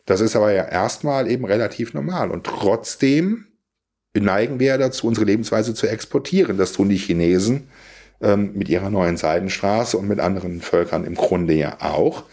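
A man's voice: fairly low pitch, normal speed, a little mellow, husky. A man speaking in a reflective discussion, explaining things in an articulate, emphatic way.

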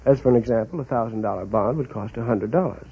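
A man's voice: medium pitch, fast, breathy edge. A man from New York City answering a quiz host's questions in a polite, earnest, slightly formal way. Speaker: quiz show contestant, bail bondsman. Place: radio show stage. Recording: muffled old-time radio recording.

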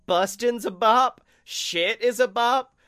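A man's voice: extremely high pitch, slow pace, bright, forceful tone. A man co-hosting a comedy podcast, chatting casually with boisterous, goofy riffing. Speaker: podcast co-host.

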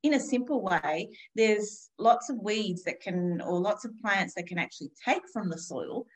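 A woman's voice: mid-range voice, expressive, moderate pace, husky, low-quality recording. A woman in her fifties talking thoughtfully and unhurriedly in a casual conversation, with frequent restarts. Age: 50s. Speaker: guest.

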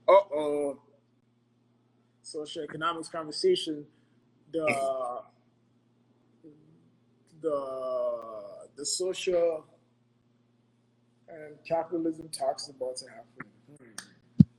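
Man from Ghana, fairly high voice, earnest podcast advice-giver who repeats points and tags them with "right?". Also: very slow, pauses often, rough recording. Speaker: podcast co-host giving financial advice. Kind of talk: motivational casual talk.

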